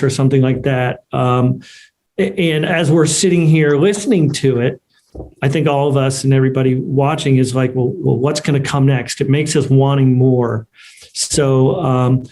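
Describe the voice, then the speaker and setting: A man's voice: medium pitch, a little mellow. A man hosting a casual question-and-answer session, wordy and informal, feeling his way through questions. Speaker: host and moderator.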